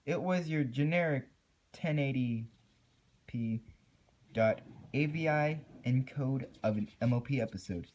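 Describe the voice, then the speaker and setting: A man's voice: medium pitch, measured pace, darkish tone. A man giving an amateur dramatic reading of a first-person horror story. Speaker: amateur creepypasta narrator.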